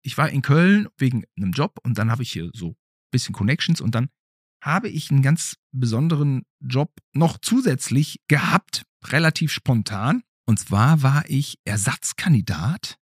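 A man's voice: mid-range voice, big pitch swings, moderate pace, husky, no echo, studio quality. A man hosting a casual conversational podcast, talking in a colloquial, musing way with plenty of questions. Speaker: podcast host.

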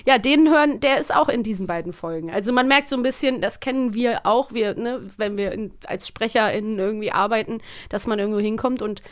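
A woman's voice: a little high, a little fast, hardly any pauses. A woman co-hosting a podcast in a casual, chatty style. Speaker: podcast co-host, voice performer. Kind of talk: casual chat.

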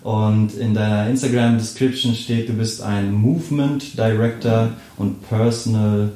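A man's voice: on the low side, fairly even intonation, unhurried, mellow. A man hosting a podcast, reading a scripted intro and then asking his guest friendly, casual questions with plenty of fillers. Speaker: podcast host. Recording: roomy echo.

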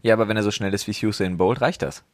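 A man co-hosting a podcast in casual chat, excitable, laughing often and swearing casually. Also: on the low side, fast talker, no echo. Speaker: podcast co-host.

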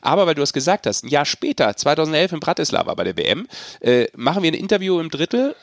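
A man's voice: fairly high pitch, big pitch swings, fast, no room sound, studio quality. A man chatting casually about sport as a podcast co-host, in a colloquial, anecdotal way.

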